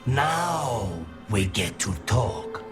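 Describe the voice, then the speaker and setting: An angry video game demon, impatient and demanding. He spits out his words very slowly in menacing bursts, with pitch that swings widely. Faint music plays behind him. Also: animated, a bit bright. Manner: menacing, halting, emphatic phrases.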